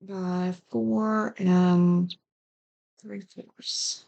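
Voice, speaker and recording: An older woman, raspy and hoarse from a sinus infection, hosting a casual card-making tutorial at her craft desk. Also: lowish voice, steady tone, very slow, darkish tone, lo-fi sound. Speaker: card-making channel host.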